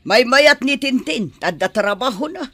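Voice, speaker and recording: A woman's voice: fairly high pitch, animated, normal speed, a bit bright, no echo. A woman playing a stern scolding mother. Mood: disapproving. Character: stern middle-aged mother pushing her son's match. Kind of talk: amateur radio drama acting.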